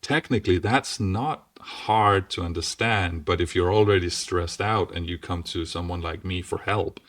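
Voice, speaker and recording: Man, deep strong voice, earnest and explanatory, keeps asking "right?", dry sound a bit off-mic. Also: normal speed, no room sound, recorded from a distance. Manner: earnest, often checks in with "right?". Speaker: podcast guest. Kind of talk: casual explanatory conversation.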